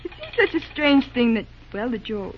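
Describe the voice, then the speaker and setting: A dull, band-limited old radio recording of a woman playing an earnest young secretary. Her voice is high and girlish, and she speaks with eager courtesy, often stumbling politely over her words in radio-play fashion. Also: expressive, a little fast, a loud crowd, lightly acted. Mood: polite, hesitant. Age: young adult. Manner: hesitant, stammering, eagerly courteous. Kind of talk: old-time radio drama acting.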